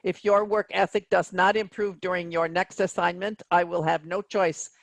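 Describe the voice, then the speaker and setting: A woman's voice: fairly low pitch, steady tone, normal speed, vocal fry, no echo. A woman in a play reading, voicing a stern, coldly officious automated spaceship captain. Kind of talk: staged comedy play reading.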